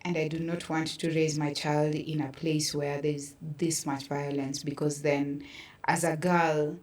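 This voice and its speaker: A woman's voice: low voice, little pitch movement, a little slow, a little mellow. A woman with a Kenyan accent speaking earnestly and reflectively in a conversational interview. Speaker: podcast guest, artist. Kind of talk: personal testimony in conversation. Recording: roomy echo, distant from mic.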